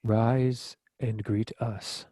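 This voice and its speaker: Man as solemn male archangel, mellow tone, dry sound, grave declamation, stage-play table read. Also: mid-range voice, level tone, drawn-out words, dry recording. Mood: grandly prophetic. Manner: grave, declamatory, occasionally stumbling over the script.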